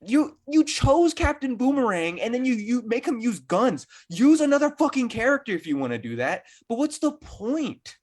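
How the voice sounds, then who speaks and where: A man's voice: extremely high pitch, sing-song, moderate pace, hardly any pauses. A man in casual, profane podcast banter, ranting with sarcasm and exasperation. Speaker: gaming podcast panelist.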